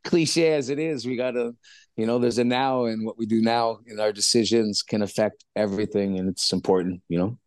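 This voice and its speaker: A man's voice: on the low side, fairly even intonation, a little fast, no echo. A middle-aged man from Canada, a musician guest talking earnestly and at length in an interview, often adding "man" and "you know". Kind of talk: candid interview conversation.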